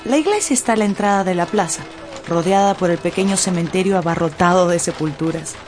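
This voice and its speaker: A woman giving scripted, expressive narration for a radio novel. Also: fairly low pitch, brisk, music in the background. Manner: expressive storytelling. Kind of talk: scripted dramatized audiobook narration.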